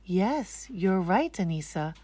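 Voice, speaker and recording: A woman playing a patient, gently correcting mother in a children's storybook, explaining and instructing. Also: on the low side, sing-song, takes it slowly, exaggerated voice.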